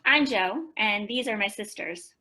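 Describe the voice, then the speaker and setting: A woman's voice: mid-range voice, a little slow, bright, forceful tone, poor audio. A woman playing an earnest author in a radio play, recalling her foster family in reflective narration. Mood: friendly.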